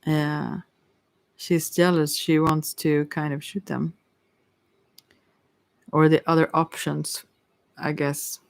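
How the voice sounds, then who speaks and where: A woman with a creaky voice giving an ASMR tarot reading in a hesitant, rambling, casual way, often trailing off. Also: sits low, little pitch movement, slow, long pauses, dry recording. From Sweden. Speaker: tarot reader, ASMR creator.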